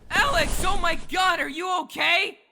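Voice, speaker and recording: Man voicing a very high, anxious young cat boy. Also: normal speed, creaky, pushed and bright, slight background noise, heavily acted. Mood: alarmed, worried. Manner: fretful, earnest. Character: anxious, gentle, caring teenage boy cat. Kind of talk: audio drama acting.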